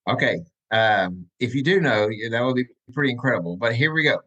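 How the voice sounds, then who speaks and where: A man's voice: mid-range voice, lively intonation, fairly quick, bright, forceful tone, highs cut off. Joking man hosting a chat podcast. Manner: joking, rambling storyteller. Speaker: podcast host. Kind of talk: casual chat. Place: room at home. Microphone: video call.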